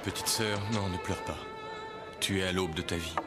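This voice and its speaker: Man playing a deep, gentle, brotherly traveller. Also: steady tone, lightly acted. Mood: tender, consoling. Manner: tender, soothing, measured. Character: gentle, protective, brotherly male traveller consoling a girl. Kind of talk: animated drama dubbing. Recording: loud string music behind. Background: bowed string music.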